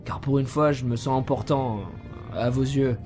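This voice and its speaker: A man's voice: mid-range voice, fairly even intonation, normal speed, darkish tone, soft background music, natural voice. A young man playing a bewildered, easily flustered computer geek in an amateur audio drama. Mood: downcast.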